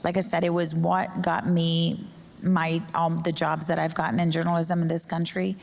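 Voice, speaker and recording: A middle-aged Brazilian woman whose first language is Portuguese speaks on a panel in a lowish, creaky voice. She pauses to find her words as she addresses the audience. The hall adds a long echo around her. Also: completely flat delivery. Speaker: journalism professor and panelist. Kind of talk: informal panel talk to an audience. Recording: echoey hall with long reverb.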